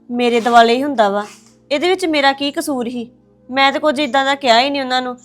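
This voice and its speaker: A woman's voice: fairly high pitch, natural voice. A woman playing a daughter-in-law who pleads and defends herself to her mother-in-law in a family drama. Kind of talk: domestic drama acting.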